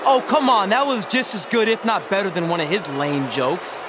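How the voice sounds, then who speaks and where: A man's voice: sits high, moderate pace, noisy background. A young man playing a cocky, defensive pro wrestler in a video game cutscene. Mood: indignant, comic. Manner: animated, indignant.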